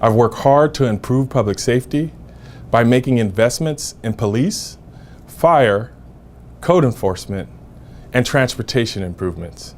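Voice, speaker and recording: A man who sits on the city council, asking to be re-elected with measured, deliberate phrasing. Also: medium pitch, lively intonation. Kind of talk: campaign statement to camera.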